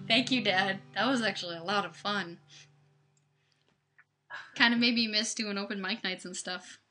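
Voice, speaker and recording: A woman's voice: mid-range voice, a little slow, long pauses, bright, forceful tone, soft background music. A woman in her twenties chatting casually to viewers on a webcam livestream from home, rambling with lots of fillers and restarts. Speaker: livestream host. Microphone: webcam microphone.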